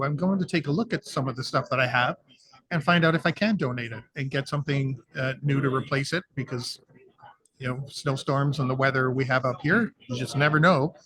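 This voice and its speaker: A Canadian man co-hosting a live radio show, hoarse and losing his voice, speaking in an easygoing, hesitant way with many fillers. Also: on the high side, pushed and bright, rough recording. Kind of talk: casual live radio chat.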